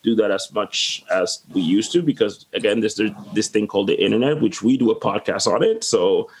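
A man's voice: lowish voice, a little fast, husky, low-quality recording. A man from the Dominican Republic hosting a podcast, asking rambling, chatty questions with frequent restarts. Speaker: podcast host. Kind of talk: casual interview chat.

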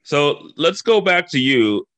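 Man, from the Dominican Republic, podcast host, casual interview, animated, acts out quotes, dry close sound. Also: a little high, slow pace, no room sound.

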